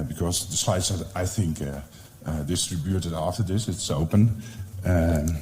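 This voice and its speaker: A man's voice: lowish voice, mellow, slight background noise. A middle-aged man with a Dutch accent giving a conference presentation, hesitant with many fillers and restarts. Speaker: library department head. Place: conference room.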